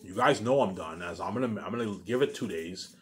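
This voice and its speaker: A man's voice: fairly low pitch, lively intonation, brisk, fairly bright tone. A man giving a rambling, casual monologue as a video maker, insistent, boastful and often repeating himself. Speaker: video maker talking to viewers.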